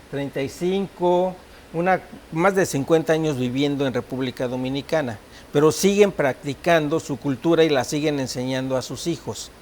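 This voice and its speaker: A Mexican man with a Mexican Spanish accent, a guest on a talk show who explains things calmly. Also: a little high, slight background noise. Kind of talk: conversational interview.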